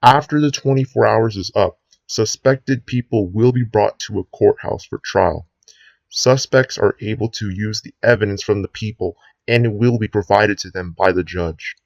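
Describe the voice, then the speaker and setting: Guy playing a menacing president doing a grim national address, deep-ish voice, very dry sound, slow heavy emphasis. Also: no echo, muffled recording, close to an everyday voice. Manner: grave, deliberate speechmaking with drawn-out ominous phrases. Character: sinister authoritarian male US president addressing the nation. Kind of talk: scripted emergency broadcast address.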